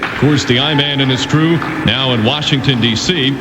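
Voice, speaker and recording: A man anchoring radio sports news, reading scripted scores with deadpan jokes mixed in. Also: mid-range voice, normal speed. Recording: clearly noisy background.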